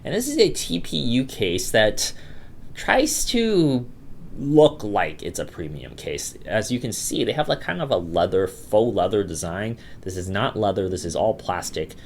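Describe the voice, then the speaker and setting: A man's voice: fairly low pitch, big pitch swings, unhurried, creaky, darkish tone, slight background noise. A man with a cold talking casually as the host of a tech review vlog, chatty and loose with lots of fillers and restarts. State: has a cold, voice sounds a bit off.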